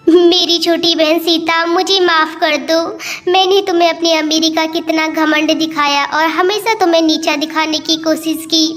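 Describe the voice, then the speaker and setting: A woman's voice: very high voice, a bit bright, pitched above the performer's own voice. A woman voicing a boastful, condescending rich elder sister in a cartoon tale, with a patronizing, emphatic manner. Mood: remorseful.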